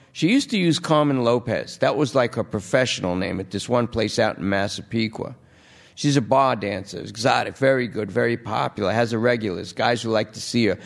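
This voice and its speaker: A man's voice: lowish voice, vocal fry, pitched above the performer's own voice. A man voicing a jittery, wheedling male junkie under arrest who pleads and rambles.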